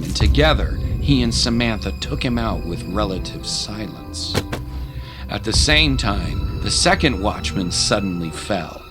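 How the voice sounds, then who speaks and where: Male narrator, deep voice, slow measured pace, dramatic scripted storytelling, music behind. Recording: music under the voice.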